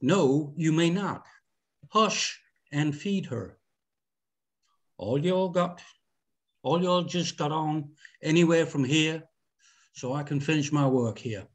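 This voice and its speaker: A man's voice: a little high, slow, long pauses. A man voicing a curt, domineering 1830s Virginia farm master who gives clipped, folksy orders at a script reading. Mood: commanding. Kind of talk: script table read.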